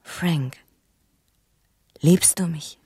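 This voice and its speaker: Radio noir: restless, scheming wife, low register, urgent and seductive, whispering then flaring up, very close dry sound. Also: female voice, extremely slow, dark tone, breathy edge, dry recording, full character voice. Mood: conspiratorial. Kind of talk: German radio crime drama acting.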